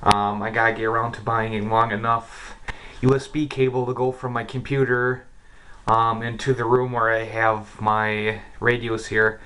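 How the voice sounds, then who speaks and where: A man's voice: medium pitch, level tone, moderate pace, pushed and bright. Casual man, noisy homemade demo recording. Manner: informal, thinking aloud. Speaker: amateur shortwave radio hobbyist and presenter. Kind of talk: casual hands-on demonstration vlog. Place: indoor room with radio equipment. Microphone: camera's built-in mic. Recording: noisy cheap camera mic, radio static. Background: shortwave radio hiss and clicks.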